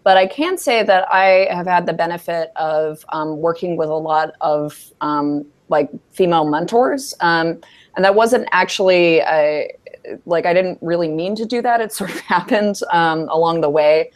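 Low-voiced casual woman on a video call. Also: normal speed. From New York. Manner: casual, reflective, many fillers. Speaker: panelist. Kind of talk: panel answer over video call. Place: office with bookshelves. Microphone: earbud headset on a video call.

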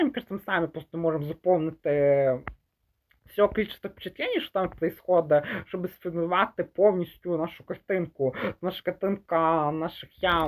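Hesitant young man, casual review. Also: fairly high pitch. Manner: hesitant, halting, trails off and rephrases mid-thought; mixes Ukrainian and Russian words. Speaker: film reviewer. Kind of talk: casual unscripted movie review.

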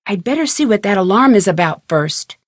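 An actress voices a young woman detective in an adventure game, quick-witted and determined. She talks to herself inquisitively as she puzzles things out, in a medium-pitched voice. The sound is dry, with no room to it. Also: lively intonation, no echo, lightly acted. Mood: musing, focused. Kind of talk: scripted video game voice lines, thinking aloud.

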